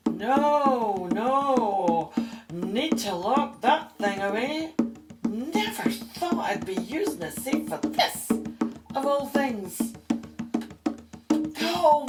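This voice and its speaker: High-pitched, animated woman Egyptologist. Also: heavily acted. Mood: startled, irritated. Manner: exclamatory, muttering to herself. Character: feisty, beleaguered woman Egyptologist talking to herself. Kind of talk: audio drama acting. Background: music.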